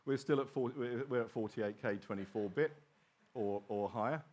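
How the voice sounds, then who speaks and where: A man's voice: mid-range voice, normal speed, darkish tone. A middle-aged man giving a technical conference presentation in an informal way with wry asides. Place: small open presentation theatre at a trade show.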